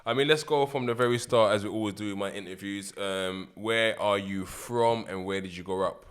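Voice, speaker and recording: Low-voiced male host, easygoing, keeps the guest talking. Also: normal speed, clean tone. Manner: laid-back, encouraging, frequent "yeah". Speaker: interviewer, host. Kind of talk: casual interview.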